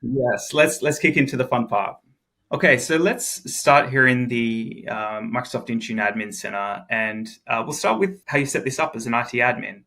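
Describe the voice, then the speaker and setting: A man with an Australian accent walking through a software demo in a relaxed, chatty way, full of "uh" and "um" fillers. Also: mid-range voice, normal speed. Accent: Australian English. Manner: chatty, frequent "uh" and "um" fillers, repeats words. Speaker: product expert giving a demo. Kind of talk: casual technical demo walkthrough.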